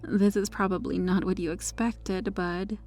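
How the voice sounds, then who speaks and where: A woman playing a possessive superheroine with a hidden dark obsession in an ASMR roleplay, her manner controlled and teasing. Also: fairly low pitch, fairly even intonation, measured pace, soft background music. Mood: smug, possessive, teasing. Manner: smug, flirtatious, controlled.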